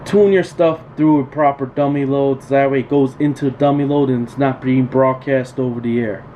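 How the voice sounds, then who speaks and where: A man's voice: medium pitch, moderate pace, lo-fi sound. A man giving an informal, unscripted tutorial as a repair technician, plain-spoken and repetitive, with emphatic practical advice. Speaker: radio repair technician hosting a tool tutorial. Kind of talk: informal unscripted instructional talk. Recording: steady background noise, room ambience.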